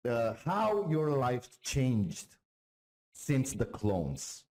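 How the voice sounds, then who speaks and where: A man's voice: mid-range voice, huge pitch swings, extremely slow, warm tone, rough recording. A man hosting an interview, asking questions in a chatty, encouraging way and often repeating words as he starts. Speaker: interviewer, channel host.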